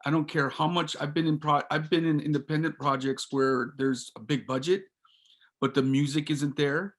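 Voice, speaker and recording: A man who runs a music company, answering a panel question in a halting way with many restarts. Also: a little high, steady tone, a bit bright.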